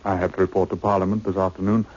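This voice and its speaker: An actor playing a self-assured, cautious British government official with a clipped, weighty manner in a radio drama. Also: male voice, low-pitched, level tone, racing, darkish tone. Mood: solemn. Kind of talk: old radio drama acting. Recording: muffled old-time radio recording.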